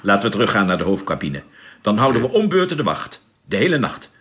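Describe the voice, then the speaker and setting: An actor playing a brisk, commanding male spaceship captain in a radio play. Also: lowish voice, huge pitch swings, a bit bright, slightly rough, heavily acted. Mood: measured, commanding. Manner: brisk, questioning, giving orders.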